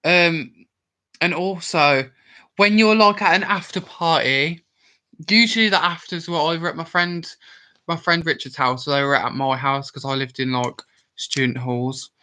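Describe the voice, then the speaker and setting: A man's voice: fairly high pitch, unhurried, sharp tone. A young man from Worcestershire with a West Midlands accent, drunk and rambling as he chats with viewers on a livestream. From Worcestershire, England. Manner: rambling, chatty, answers viewer comments aloud. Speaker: livestream host. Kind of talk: casual livestream chat with viewers.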